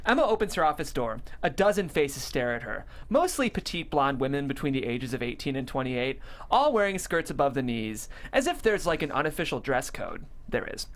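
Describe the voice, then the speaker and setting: A woman's voice: a bass voice, sing-song, fairly quick, hardly any pauses. A woman narrating stage directions from a screenplay at a table read.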